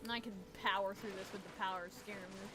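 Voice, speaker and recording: A woman's voice: mid-range voice, steady tone, fast talker. A woman giving casual, sarcastic, swearing gameplay commentary over game music. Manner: foul-mouthed, sarcastic. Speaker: gaming video maker.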